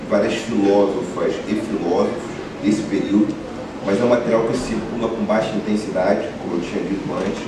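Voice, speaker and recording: A male philosophy professor lectures in a university room, explaining each point and raising questions he answers himself. His voice comes from a distance in a very echoey hall, with clear background noise underneath. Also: medium pitch. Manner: explanatory, poses rhetorical questions and answers them. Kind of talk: academic lecture.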